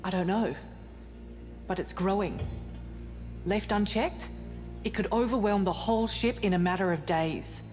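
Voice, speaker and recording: An actress playing an earnest, suspicious scientist aboard a spaceship in a cinematic video game. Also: female voice, medium pitch, measured pace, pauses often, darkish tone, close to an everyday voice. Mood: fascinated, grave. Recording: long reverberant hall, music behind.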